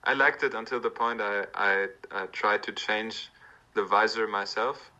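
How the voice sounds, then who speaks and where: Man, bright forceful tone, muffled video-call sound, joking. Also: on the low side. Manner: friendly, joking, slightly halting. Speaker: guest. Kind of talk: casual chat.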